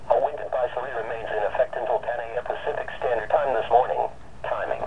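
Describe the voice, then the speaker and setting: A synthetic-sounding man reading an automated weather bulletin in a measured, formal way through a radio speaker. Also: medium pitch. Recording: muffled, noisy weather radio broadcast.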